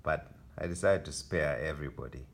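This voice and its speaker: A man in his thirties from Kenya with a Kenyan accent, presenting his photography exhibition in a soft-spoken, halting way with fillers. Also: a bass voice, creaky. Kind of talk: gallery talk.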